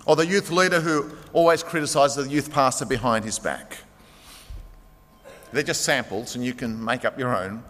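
A man's voice: medium pitch, normal speed, fairly bright tone. A male pastor preaching a sermon in a church, emphatic and urging his listeners to act now. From Greek heritage. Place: church sanctuary. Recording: long reverb of a large hall.

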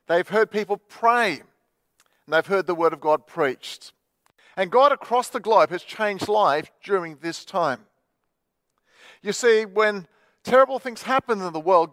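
A man's voice: high-pitched, fairly bright tone, no echo. A man, a Baptist pastor, preaching a sermon in a chatty storytelling way full of fillers. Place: church livestream.

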